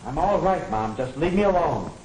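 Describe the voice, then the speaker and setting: A man's voice: on the high side, lively intonation, moderate pace, darkish tone, slight background noise, natural voice. A man playing a sullen, withdrawn, irritable teenage boy in a 1950s educational film, on an echoey vintage soundtrack. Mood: sullen, defensive.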